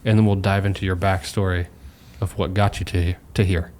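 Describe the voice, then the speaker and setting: A man's voice: low voice, level tone, a little fast, darkish tone. A man with an American accent, casually interviewing as a podcast host.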